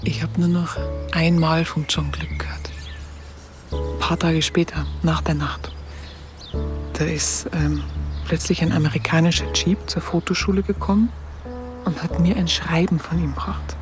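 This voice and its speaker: A woman's voice: sits low, fairly even intonation, unhurried, long pauses, music in the background. An actress playing a photographer recalling her wartime youth in a radio drama, with a Bavarian accent and a hesitant, colloquial, confiding way of telling it. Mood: reflective, confiding. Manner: hesitant, colloquial, reflective storytelling. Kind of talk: intimate first-person radio drama recollection.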